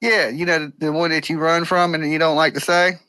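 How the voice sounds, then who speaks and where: A man's voice: on the high side, steady tone, a little fast, bright, forceful tone, no room sound, poor audio. A man hosting a live call-in stream with blunt, confrontational, colloquial talk. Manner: blunt, colloquial, streetwise phrasing. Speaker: live-stream host taking calls.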